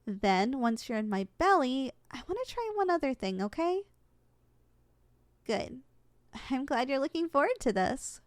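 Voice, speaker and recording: High-pitched, animated young succubus girl, played by a woman. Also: unhurried, no echo. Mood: sweet, coaxing. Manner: sweet, coaxing, easily flustered. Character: young female slime succubus in training, affectionate, competitive. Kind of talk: ASMR roleplay acting.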